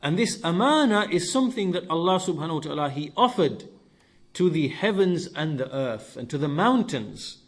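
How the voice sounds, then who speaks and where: Higher-voiced man giving a religious lesson. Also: big pitch swings, normal speed. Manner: emphatic, repeats key phrases. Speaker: religious teacher. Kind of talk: lesson-style sermon explaining scripture.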